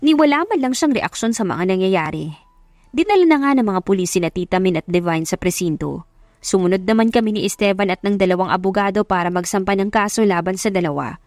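Woman, medium pitch, dry close recording, dramatic audiobook narration, soft music behind. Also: animated, fairly quick, dry recording. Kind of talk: scripted first-person dramatic story narration.

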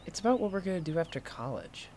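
An actor playing an inquisitive, teasing college student in a fiction podcast, with a prodding, excitable manner. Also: male voice, on the high side, quick pace, a little hiss or hum. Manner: prodding, animated, incredulous.

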